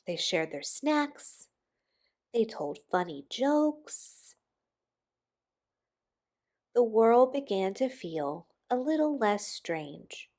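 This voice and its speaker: Slow, expressive woman reading a picture book. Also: fairly high pitch. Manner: slow, dramatic pauses with emphasis on key words. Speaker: storybook reader. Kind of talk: expressive children's picture book read-aloud.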